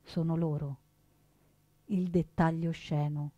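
A woman delivering solemn, emphatic scripted narration for radio theatre, with deliberate dramatic phrasing. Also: sits low, level tone, highs cut off. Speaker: actress and narrator.

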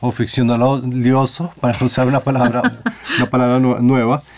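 Halting Costa Rican man, mid-pitched. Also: level tone, a little mellow, slight background noise. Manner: halting, searching for words, repeating phrases. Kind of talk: reflective discussion in a radio interview.

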